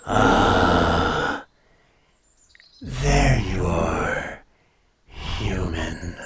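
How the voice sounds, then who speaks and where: Man voicing an ancient dragon, deep and very slow. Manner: slow, formal, deliberate speech with long pauses. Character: ancient, proud male dragon, calmly menacing and intrigued. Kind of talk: scripted fantasy audio roleplay, addressed to listener.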